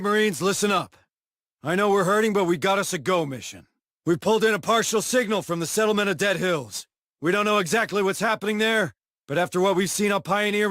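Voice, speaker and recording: A man voicing a gruff, plainspoken marine sergeant in a video game, commanding yet protective, with blunt, folksy military talk. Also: high voice, a bit bright, no room sound, studio quality. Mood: commanding briefing.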